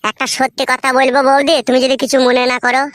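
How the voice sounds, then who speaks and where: A man voicing an earnest, cautious village neighbour in a cartoon, with a pitched-up voice. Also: completely flat delivery, fast, a bit bright, no room sound. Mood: confiding, serious.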